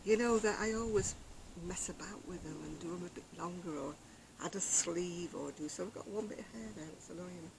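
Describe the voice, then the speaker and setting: An older woman vlogging about her crochet, chatting casually on an outdoor deck in a quiet, soft-spoken, rambling way. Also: fairly low pitch, a little fast, vocal fry, background noise. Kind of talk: casual chat to camera. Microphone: external camera microphone.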